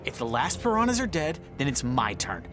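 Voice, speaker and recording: Sardonic man at the game table played by an actor, lively pitch, grumbly remarks. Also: fairly quick, over music, natural voice. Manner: sarcastic, grumbling. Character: sardonic man playing a doomed fighter.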